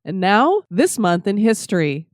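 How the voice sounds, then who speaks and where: A woman's voice: medium pitch, very animated, a little slow. A middle-aged woman with a stuffy summer cold reads her scripted podcast narration as host.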